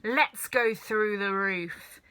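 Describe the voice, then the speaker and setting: A woman voicing a bossy, gleeful, screechy ancient grandmother in a children's storybook, shouting exultantly. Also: mid-range voice, drawn-out words, clean tone.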